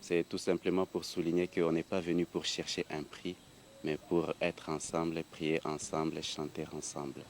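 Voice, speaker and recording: Very low-voiced man explaining. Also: level tone, normal speed. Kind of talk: explanatory interview answer. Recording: faint background noise.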